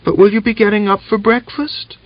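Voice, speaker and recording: Casting: man doing a small curious girl, very high and eager, muffled sound. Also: steady tone, brisk, dry recording, lightly acted. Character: little peasant girl, curious. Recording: dull lo-fi audiobook recording.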